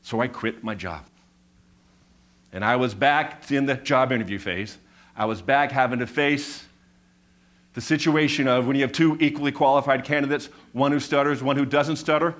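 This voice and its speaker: A man in his fifties telling a personal story on stage, with a stutter that repeats sounds and words, building his points through measured, emphatic repetition. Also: medium pitch, a bit bright. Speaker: speaker giving a talk.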